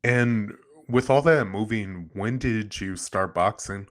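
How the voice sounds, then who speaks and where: A man's voice: on the low side, slow. A man hosting a podcast, introducing his guest and asking short, friendly interview questions.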